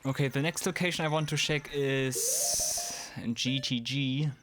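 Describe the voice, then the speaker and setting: A man's voice: medium pitch, a little slow, noisy background. A man in his thirties from Germany, casually talking through a livestream of a game and answering chat. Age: 30s. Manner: relaxed, answers chat questions, chuckles. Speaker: streamer. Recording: game music behind. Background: game music.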